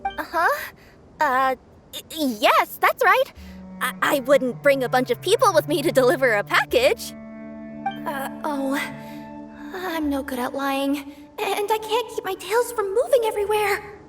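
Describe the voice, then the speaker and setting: A woman's voice: high-pitched, big pitch swings, unhurried, a bit bright, soft background music, exaggerated voice. A woman voicing a cheerful young female cat-spirit courier who speaks politely and stammers when nervous. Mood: flustered. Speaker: delivery courier. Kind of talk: video game voice acting.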